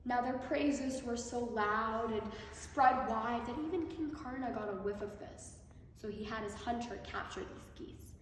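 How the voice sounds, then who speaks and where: A woman telling a folk tale live, in a theatrical manner with deliberate pauses. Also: fairly high pitch. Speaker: storyteller. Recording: very echoey hall, far mic, noisy.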